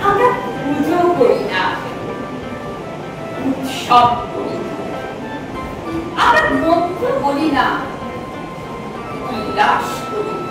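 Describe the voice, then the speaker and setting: A woman giving a dramatic poetry recitation in measured, declamatory phrases with pauses. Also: high voice, sing-song. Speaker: recitation performer. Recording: very echoey, distant mic, music behind.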